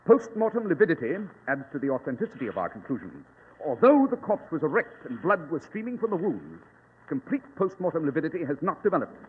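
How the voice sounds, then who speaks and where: An actor playing a brilliant, precise English detective with a British accent and a formal, pompous manner in old radio style. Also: male voice, on the high side, big pitch swings, fast, slightly rough, full character voice. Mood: confident, deductive. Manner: pompous, exact, formal deduction. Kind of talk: 1940s radio acting. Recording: tinny, reverberant, noisy old radio recording.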